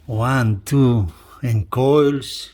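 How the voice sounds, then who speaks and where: A man presenting a hi-fi review in a casual hands-on style, talking haltingly and repeating phrases as he points things out. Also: mid-range voice, darkish tone. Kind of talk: casual hands-on video commentary.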